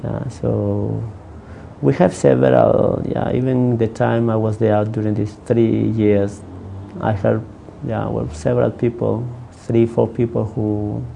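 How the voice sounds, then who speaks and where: A man working as a counselor whose first language is not English, answering interview questions in a halting way, with many restarts. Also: lowish voice, flat delivery, gravelly, mellow, a little hiss or hum, low-quality recording.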